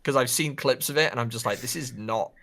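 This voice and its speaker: A man co-hosting a podcast in casual chat, speaking in an informal, opinionated and animated way. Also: medium pitch, fairly quick, gravelly. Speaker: podcast co-host. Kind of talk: casual pop culture chat.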